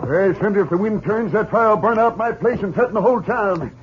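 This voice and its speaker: An actor playing a hard-headed, suspicious rancher leading a posse in a 1950s radio western, forceful and bossy. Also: male voice, high voice, a little fast, lightly acted. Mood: alarmed. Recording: very noisy dull 1950s radio recording.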